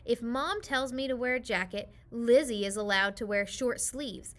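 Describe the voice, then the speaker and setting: A cross little girl grumbles through a storybook in a woman reader's voice. Her tone is bright and high, with a whiny, indignant edge to every complaint. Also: normal speed, lightly acted. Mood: complaining. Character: young girl, sulky and complaining.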